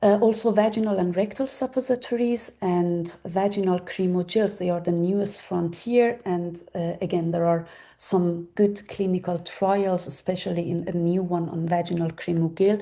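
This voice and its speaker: A woman in her forties, a native Persian speaker, giving a webinar talk on medical research in a halting way, with many fillers and restarts. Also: lowish voice, steady tone, mellow. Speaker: webinar presenter. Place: Germany.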